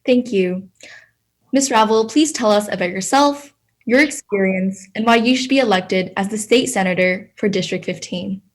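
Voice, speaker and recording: A woman's voice: mid-range voice, normal speed. A young woman moderating a political forum, reading formal questions and instructions from a script.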